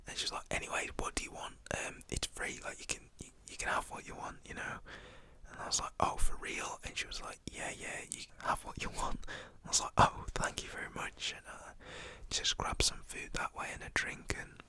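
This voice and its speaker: Bright, lively whispered storyteller. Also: a little fast. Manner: casual rambling with filler "like", slangy.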